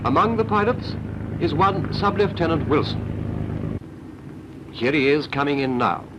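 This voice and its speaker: A man playing a brisk British naval instructor, narrating a 1940s training film in a clipped, didactic, emphatic way. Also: fairly high pitch, lively intonation. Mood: brisk, instructive. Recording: noisy vintage 1940s film soundtrack.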